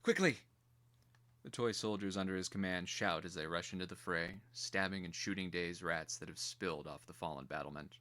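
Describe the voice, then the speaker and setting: A man narrating a screenplay as scripted drama, in a grave, deliberate and theatrical manner. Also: low-pitched, steady tone, pushed and bright.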